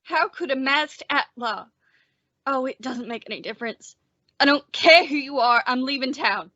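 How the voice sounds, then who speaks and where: A woman's voice: sits high, moderate pace, bright, forceful tone, no room sound, muffled recording. A woman playing a timid, bookish young man made sheriff, with a hesitant, stammering, anxious manner, in an old-time western radio drama. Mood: defeated. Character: timid, bookish young male sheriff, nervous insect collector.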